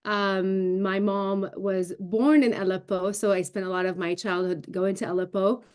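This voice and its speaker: A woman's voice: mid-range voice, steady tone, moderate pace, darkish tone, smooth. A woman in her thirties from Syria, a native Arabic speaker, explaining things emphatically and articulately as an interview guest on a video call. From Syria, raised in Damascus. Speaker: interview guest, broadcast journalist and show host. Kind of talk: remote news interview, explanatory talk. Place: indoor room, video call.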